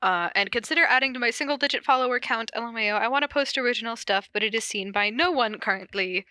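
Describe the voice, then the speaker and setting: A woman hosting a casual podcast, reading stories aloud and chatting between them. Her voice is bright and forward, with a very dry, close sound. She keeps an informal, giggly manner. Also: on the high side, fast, no room sound. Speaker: podcast host reading fan fiction aloud. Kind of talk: casual chat and cold reading of fan fiction.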